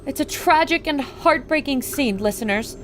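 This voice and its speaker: A woman's voice: high voice, lively intonation, normal speed. A woman playing a plucky radio reporter on an expedition, earnest and theatrical in an old-time radio adventure. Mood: grave reporting. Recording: loud storm and ambient noise.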